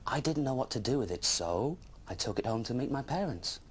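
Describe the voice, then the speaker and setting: A man's voice: medium pitch, expressive, a little fast, husky. A man voicing an eager, wide-eyed young dragon who tells his adventure with childlike wonder in a children's storybook. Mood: eager, amazed.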